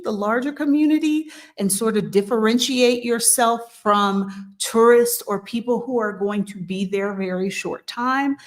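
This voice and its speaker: Woman, casual talk, unhurried, measured, frequent pauses and restarts. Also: mid-range voice. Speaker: host of a move-abroad channel. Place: living in Mexico.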